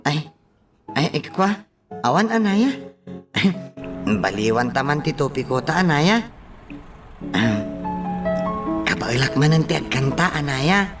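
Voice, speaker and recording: A halting, high-voiced meek young man. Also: sing-song, distant mic, loud music behind the voice, exaggerated voice. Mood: hesitant. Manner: halting, stammering, unsure. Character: hesitant, meek young man, eager to please his mother. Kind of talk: radio drama acting.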